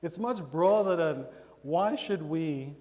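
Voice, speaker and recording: High-voiced Trinidadian preacher in a hall. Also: male voice, slow. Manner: animated, acts out church members in quoted voices. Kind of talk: sermon. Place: church sanctuary.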